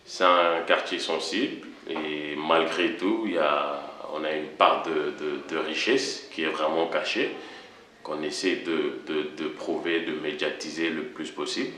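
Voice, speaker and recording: Man, very low voice; direct interview questions; roomy sound. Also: fairly even intonation, a little slow, pushed and bright. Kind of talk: documentary interview. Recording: roomy with faint hiss.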